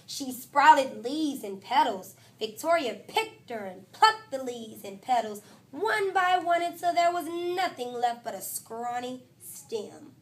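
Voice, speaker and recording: A woman with a high voice reads a children's picture book aloud. She is expressive and talks directly to her young listeners now and then. Her delivery suits a teacher reading to a class. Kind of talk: children's storybook read-aloud.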